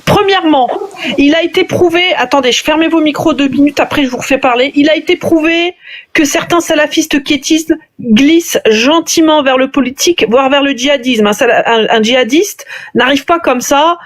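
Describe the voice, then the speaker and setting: A woman's voice: sits high, moderate pace. A woman hosting a heated live online debate, talking emphatically and jumping in on others. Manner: emphatic, interrupts, animated. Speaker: live stream host. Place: online live stream call.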